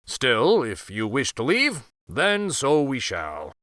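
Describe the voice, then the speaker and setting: A man voicing a big, friendly, easygoing magical bear with a drawn-out, unhurried way of talking. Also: mid-range voice, wildly swooping pitch, a bit bright, no echo, clean studio sound, exaggerated voice.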